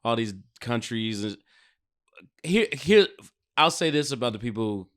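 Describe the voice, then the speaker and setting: Casting: American male podcast co-host, smooth clean voice, mid pitch, slangy, profane, emphatic casual talk. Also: expressive, unhurried, a bit bright. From United States.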